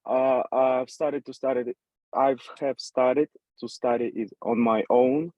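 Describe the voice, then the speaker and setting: A man introducing himself on a video call, hesitant with many pauses. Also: mid-range voice, steady tone, darkish tone, dry recording, dull audio. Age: 20s. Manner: hesitant, many fillers and restarts. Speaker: workshop participant. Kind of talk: self-introduction.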